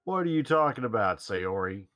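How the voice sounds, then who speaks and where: A man's voice: lowish voice, big pitch swings, normal speed, a bit bright, faint music behind. A man reading the part of an earnest, worried young man confronting a friend in a visual novel. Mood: insistent, worried. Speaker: amateur reader of a visual novel's lead. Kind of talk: staged reading with joking asides.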